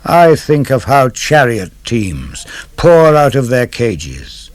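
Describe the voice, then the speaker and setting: A man giving a solemn, measured, declamatory reading of classical poetry for radio. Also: mid-range voice, sing-song, no room sound. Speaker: poetry reader. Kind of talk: dramatic literary reading for radio.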